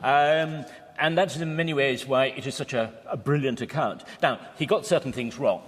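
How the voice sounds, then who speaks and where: A man's voice: medium pitch, moderate pace, fairly bright tone. Man explaining history in an echoing hall. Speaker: panel guest, historian. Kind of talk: historical panel discussion. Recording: reverberant hall.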